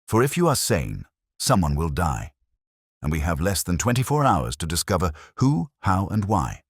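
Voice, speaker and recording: A man voicing a shrewd, commanding gentleman detective in an audiobook, clipped and formal. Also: deep voice, huge pitch swings, moderate pace, slightly rough, dry recording, studio quality, heavily acted. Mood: grave, intrigued.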